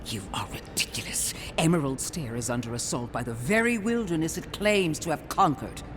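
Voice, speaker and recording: Wildly expressive, scornful imperial inquisitor. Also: male voice, fairly high pitch, moderate pace, slight background noise. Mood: severe, derisive. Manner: imperious, cutting, theatrical. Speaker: voice actor. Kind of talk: dramatic fantasy game dialogue.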